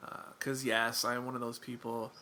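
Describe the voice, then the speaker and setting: A man's voice: mid-range voice, level tone, moderate pace, sharp tone, slight background noise. A man chatting casually as a podcast guest, wry and self-deprecating, rambling with lots of fillers. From England.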